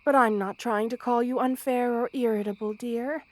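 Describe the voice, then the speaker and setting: A woman playing a gentle, motherly, centuries-old spider queen in an ASMR roleplay, speaking in formal, measured, soothing phrases. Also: fairly high pitch, steady tone, lightly acted. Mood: tender, reflective. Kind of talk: ASMR roleplay, intimate scripted monologue to listener.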